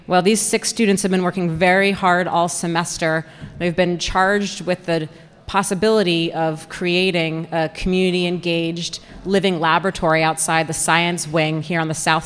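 A young woman giving a prepared, organized public presentation as a program coordinator, speaking into a microphone on a stand. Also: deep voice. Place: indoor presentation room with audience. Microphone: handheld-style mic on stand. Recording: reverberant hall through PA microphone.

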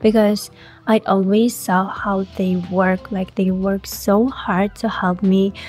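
A young woman from Kazakhstan, a Russian speaker, chatting in English through a casual question-and-answer vlog with a clip-on mic in a high-rise room. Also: takes it slowly. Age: young adult. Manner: chatty, animated, talks with her hands. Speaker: influencer. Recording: faint music underneath.

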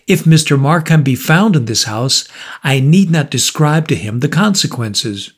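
A man's voice: on the high side, expressive, normal speed, breathy edge. A man voicing a polite, composed supernatural visitor in a storybook reading, speaking with pleasant formality and cool firmness. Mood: polite, cautioning.

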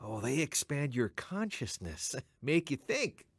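Radio drama role: easygoing sly stoner guy, very animated intonation, mid-pitched, teasing. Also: slow pace, breathy edge, no room sound, heavily acted. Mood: sly, amused. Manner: casual, teasing, chuckling. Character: easygoing male coworker, sly stoner peddling mystic ideas.

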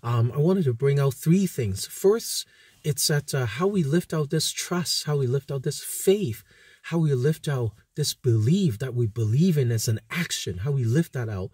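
A man in his thirties giving an informal sermon as a pastor, speaking haltingly with frequent hesitations and repeated words. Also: mid-range voice, expressive, a little mellow, husky, no echo. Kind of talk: informal recorded sermon with Bible teaching. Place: inside a parked van at night.

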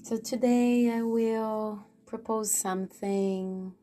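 A woman hosting a guided relaxation practice, speaking in a gentle, unhurried way with long pauses. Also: on the high side, fairly even intonation. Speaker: podcast host and guided relaxation teacher. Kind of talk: guided relaxation, slow reflective narration. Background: soft plucked guitar music.

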